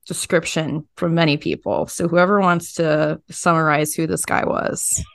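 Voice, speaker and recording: A woman's voice: sits low, steady tone, measured pace, creaky, fairly bright tone, no echo. A woman hosting a podcast, presenting and asking questions in a conversational interview style. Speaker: podcast host interviewing reporters. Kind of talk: scripted intro and conversational interview.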